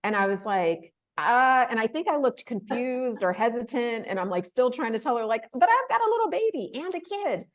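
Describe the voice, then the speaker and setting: Animated, laughing woman telling her story. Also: a little high, moderate pace, few pauses. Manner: animated, laughs often, acts out quoted voices. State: emotional, close to tears. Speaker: interview guest, fellowship winner. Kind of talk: casual interview storytelling.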